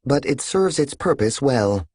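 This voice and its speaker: Man doing a soft feminine machine voice, frail older mother, calm, even, higher than his own. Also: a little slow, no echo. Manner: calm, even and pleasant, flat of feeling. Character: frail older mother speaking through a calm synthetic voice modulator.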